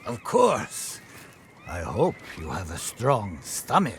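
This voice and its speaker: An actor playing a haunted pirate sailor who spins grim legends with theatrical, ominous pauses in a video game. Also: male voice, on the low side, huge pitch swings, background noise, exaggerated voice. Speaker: storyteller. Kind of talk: dramatic campfire-style storytelling in a video game.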